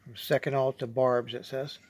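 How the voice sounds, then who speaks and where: A man's voice: medium pitch, steady tone, normal speed, a little hiss or hum. A man giving casual explanatory asides as the host of a read-along video. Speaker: video host presenting a vintage script.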